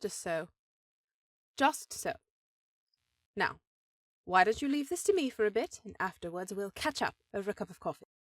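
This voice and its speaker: A dry, close-miked woman playing an elven sorceress in a fantasy audio drama, with a medium-pitched voice. She is composed and a touch formal, an affectionate and gently teasing sister who carries her duty with poise. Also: expressive, moderate pace, long pauses, dry recording, natural voice. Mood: assured, caring. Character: powerful elf sorceress sister, affectionate, teasing, dutiful headmistress. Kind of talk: scripted fantasy audio roleplay.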